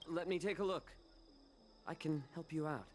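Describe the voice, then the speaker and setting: A man voicing a composed, shrewd young fox-folk healer in a video game, with a measured, cool way of talking. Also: a little high, darkish tone, close to an everyday voice. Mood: pensive. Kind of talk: game voice acting.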